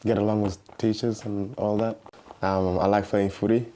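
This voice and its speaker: A young man of nineteen speaks in a low, level voice. He talks haltingly, with many fillers and restarts. Faint noise sits behind him. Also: little pitch movement, dark tone. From South Sudan. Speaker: documentary interviewee. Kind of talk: personal testimony in a youth documentary.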